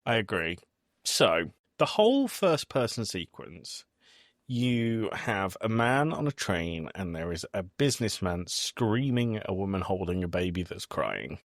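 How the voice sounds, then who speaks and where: A man hosting a podcast, chatting casually about films in an animated storytelling way. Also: deep voice, measured pace, no room sound, studio quality. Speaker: podcast host. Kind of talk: casual film review chat.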